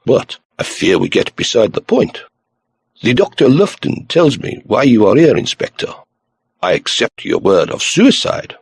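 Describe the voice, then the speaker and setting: A man voicing an excitable, pompous police commissary in an audiobook, florid and dramatic. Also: medium pitch, measured pace, creaky, breathy edge, no room sound, studio quality, exaggerated voice. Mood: formal, brisk.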